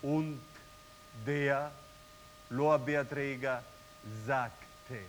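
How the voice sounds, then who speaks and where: Very slow, echoing announcer. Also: male voice, medium pitch, steady tone. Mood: detached. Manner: halting, word by word. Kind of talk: slow announcement of numbers and text. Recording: hissy, long hall reverb, distant.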